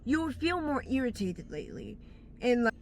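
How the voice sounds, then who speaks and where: Woman rambling blunt life advice outside on her phone, kinda high voice, faint outdoor hum behind. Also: big pitch swings, normal speed. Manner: blunt, rambling, searches for words. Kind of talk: casual advice monologue. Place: outdoors in bright daylight.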